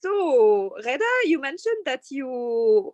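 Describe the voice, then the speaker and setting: High-voiced woman hosting, dry sound. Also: very animated, takes it slowly, darkish tone, no echo. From Egypt. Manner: explanatory, asks long questions. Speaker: host. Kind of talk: casual interview.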